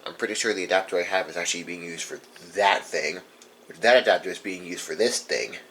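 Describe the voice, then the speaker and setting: A man's voice: low-pitched, fast talker, fairly bright tone. A man giving a casual, unscripted show-and-tell as a collector, rambling informally and correcting himself as he goes. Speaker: radio collector presenting his collection. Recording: faint background noise.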